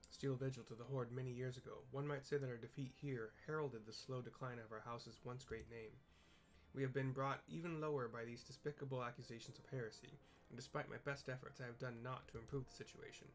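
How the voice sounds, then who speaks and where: A man's voice: medium pitch, fairly even intonation, brisk, close to an everyday voice. A man voicing a wounded, earnest young nobleman knight from a fantasy game, speaking in a formal, despairing way. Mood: solemn, resolute. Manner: formal, archaic, declamatory.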